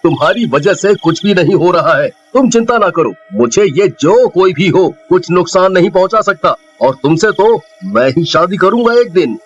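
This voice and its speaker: An earnest young village hero in a story drama, acted by a man, high in pitch. He speaks with proud, melodramatic emphasis over soft music. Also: animated, moderate pace, few pauses, highs cut off. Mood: reassuring. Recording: music under the voice.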